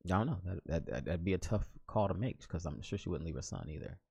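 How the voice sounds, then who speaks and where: A man's voice: low-pitched, fast talker, creaky, mellow, breathy edge, no room sound, dull audio. A man in his thirties from the United States hosting a solo podcast, talking casually and rambling with frequent restarts and fillers. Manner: informal, drops into "ain't" slang. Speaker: podcast host. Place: home, living in Korea.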